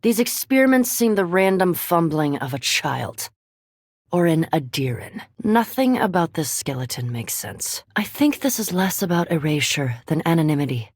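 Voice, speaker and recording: Erudite vampire scholar, female, fantasy game. Low, breathy-edged voice, precise formal delivery, cool curiosity, close dry recording. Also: sing-song, normal speed, a little mellow, dry recording. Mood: detached, clinical. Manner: formal, precise, dryly intellectual. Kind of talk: scripted fantasy game dialogue.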